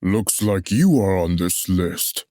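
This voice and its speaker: A man's voice: deep voice, animated, takes it slowly, mellow, no echo, clean studio sound. A man in a puppet show playing an officious, unbothered messenger, dismissive and matter-of-fact. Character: unbothered, officious male messenger collecting banned books. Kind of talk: musical puppet show stage acting.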